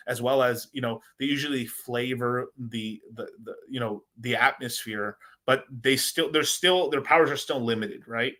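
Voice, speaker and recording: Bright-voiced rambling guy. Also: mid-range voice. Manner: rambling, slangy, says "bro" a lot. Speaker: crypto livestream host. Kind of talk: casual livestream chat.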